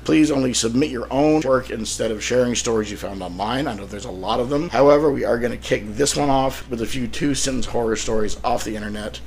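A man with a mid-pitched, gravelly voice talks casually as a solo podcast host. He sounds a bit under the weather, with a rough throat, and keeps a wry, self-deprecating, joking manner. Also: brisk, hardly any pauses, darkish tone. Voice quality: slightly rough, throat-clearing.

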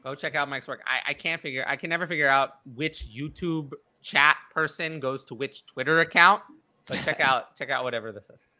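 A man's voice: medium pitch, a little fast, sharp tone. A man hosting a casual sports talk show and fielding questions from a live chat.

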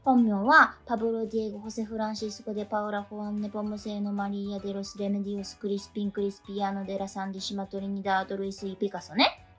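A woman's voice: mid-range voice, monotone, racing, a bit bright, faint music behind, natural voice. An actress playing an oblivious, unflappable schoolgirl who speaks earnestly and breezily in a comedic radio drama. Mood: breezy. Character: unflappable, airy schoolgirl telling school ghost stories.

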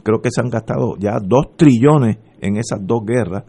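Man, radio talk host, emphatic, rhetorical questions, middle pitch. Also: moderate pace, dark tone, husky. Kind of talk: political commentary on talk radio.

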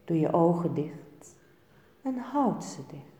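A woman teaching yoga nidra, giving guided relaxation instructions in a calm, soothing, measured way with pauses between short phrases. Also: lowish voice. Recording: echoey room with long reverb.

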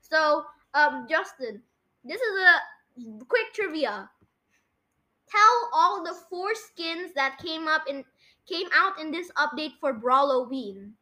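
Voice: high voice, lively intonation, slow pace, sharp tone. A young podcast host, sounding like a child or young teen, running a casual quiz chat and bossily correcting a co-host.

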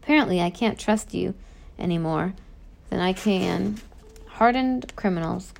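A woman voicing a stern, angry middle-aged male prison warden in a storybook, lecturing children forcefully. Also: medium pitch, a little slow, vocal fry. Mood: cold, disappointed.

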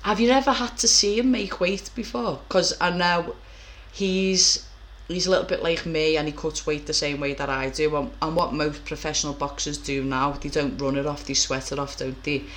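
A woman's voice: low voice, fairly even intonation, moderate pace, a bit bright. A young woman, interviewing a guest in a blunt, chatty, casual way with some swearing. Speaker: interviewer, professional boxer. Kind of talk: casual chat.

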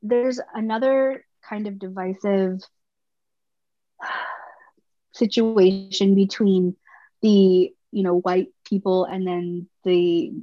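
A woman in her thirties from Canada, speaking as a podcast guest in a thoughtful, halting way with frequent restarts. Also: medium pitch, steady tone, no room sound. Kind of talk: personal conversation. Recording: slightly muffled dry sound.

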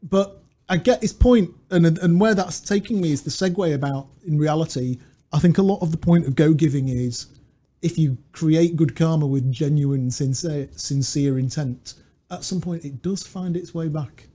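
A man's voice: on the high side, moderate pace, mellow, husky. A man co-hosting a podcast, reflective and storytelling, reading quotes into a studio mic. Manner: reflective, storytelling, laughs easily. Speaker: podcast co-host. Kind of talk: casual chat, reading quotes. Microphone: studio mic with pop filter.

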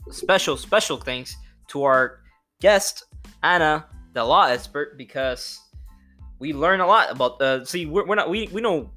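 Dominican-born male podcast co-host, animated and bright, brash and profane banter. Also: a little high, unhurried, faint music behind. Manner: loud, profane, joking, laughs often. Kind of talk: casual podcast chat.

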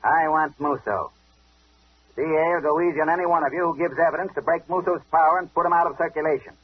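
An actor playing a tough, sly older police inspector in a 1940s radio detective drama, taunting and commanding in clipped speech. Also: male voice, a little high, fast talker, a little hiss or hum, natural voice. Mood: stern. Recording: dull old radio broadcast recording.

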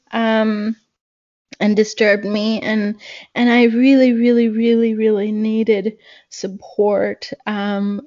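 A woman from Pakistan, a guest in a conversational interview, speaking slowly and reflectively with many fillers and restarts. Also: on the high side, warm tone, highs cut off.

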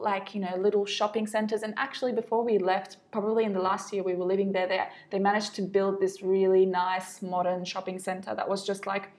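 Young syrian aussie woman, medium pitch, casual storytelling, says like and you know a lot. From Aleppo, Syria. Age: early 20s. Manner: informal, reflective, frequent "you know" and "like". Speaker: interview guest.

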